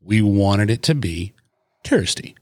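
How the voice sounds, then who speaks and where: A man hosting a casual podcast, enthusiastic and quick to cut in with praise. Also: on the low side, lively intonation, a little mellow, no room sound, studio quality. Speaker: podcast host.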